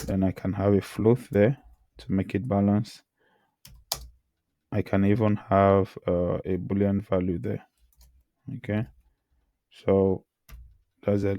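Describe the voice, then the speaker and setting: A hoarse man who says he has lost his voice, teaching programming and talking through the code he types, often clearing his throat. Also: low-pitched, warm tone. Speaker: programming tutor. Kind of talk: screen-recorded coding tutorial.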